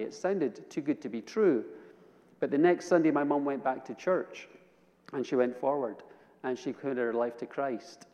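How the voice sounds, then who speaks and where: A man's voice: medium pitch, darkish tone. A Scottish man giving a personal testimony sermon as a guest preacher, telling it conversationally with dry humor. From Edinburgh, Scotland. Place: large indoor church or hall. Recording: reverberant hall, long echo.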